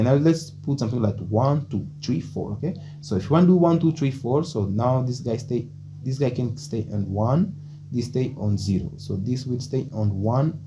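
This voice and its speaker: A man whose first language is Portuguese gives a casual coding lesson in English, chatty and loose, often tagging "okay?" and thinking aloud. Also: medium pitch. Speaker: programming tutor. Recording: dull lo-fi mic with faint hum.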